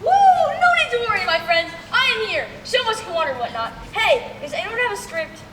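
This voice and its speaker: Young woman playing exuberant high-pitched show-off. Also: sing-song, fairly quick, a loud crowd. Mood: cocky, excited. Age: teenager. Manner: exuberant, self-important. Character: cocky, goofy amateur contest-winner actor. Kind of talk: amateur stage comedy acting. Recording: echoey, distant, noisy stage.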